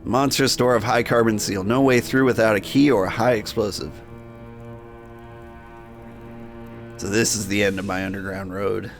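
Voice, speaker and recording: A man's voice: fairly low pitch, steady tone, a little fast, soft background music, lightly acted. A man voicing a weary, brooding Victorian minotaur who narrates a story game in wry, old-fashioned slang. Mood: grim, resigned. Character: world-weary male Victorian minotaur, wry, struggling with vices. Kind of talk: first-person game narration in character.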